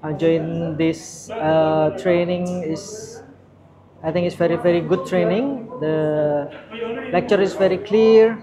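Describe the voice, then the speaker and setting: Indonesian-born guy, high voice, slow and halting, crowd around. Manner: halting, simple phrasing. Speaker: doctor. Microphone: lapel mic.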